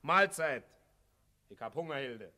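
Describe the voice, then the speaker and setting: Radio play role: domineering Berlin husband, gruff and sarcastic, bright forceful voice. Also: male voice, a little high, lively intonation, a little slow, heavily acted. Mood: indignant, sarcastic. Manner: blustering, sarcastic, colloquial. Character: stingy, gruff husband refusing insurance, domineering. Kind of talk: 1960s radio play acting.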